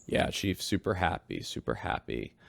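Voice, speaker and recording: An actor playing a wry, weary spaceship radio host, reporting in a measured way in a sci-fi audio drama. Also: male voice, low-pitched, sing-song, creaky, no room sound, exaggerated voice.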